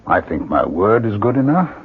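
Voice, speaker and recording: A man's voice: fairly low pitch, big pitch swings, moderate pace, breathy edge. An actor playing a stubborn, protective middle-aged doctor and father in a radio drama, emphatic and dramatic. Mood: reasonable. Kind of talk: 1970s radio drama acting. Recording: dull, lo-fi 1970s radio recording.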